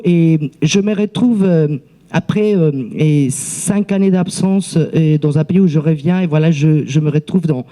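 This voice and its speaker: A woman speaks off the cuff in a seminar discussion, in a language that is not her mother tongue. Her voice is very mellow and dark. She proceeds haltingly, circling back and repeating words as she searches for the next one. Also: deep voice. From outside France, immigrant. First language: not French. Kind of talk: spontaneous remarks in a seminar debate.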